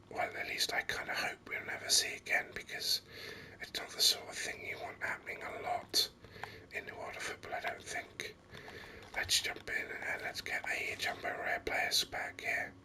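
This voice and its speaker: A person hosting a gaming video in soft, unhurried ASMR whispers, musing over the game as they go, close to the microphone. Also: poor audio.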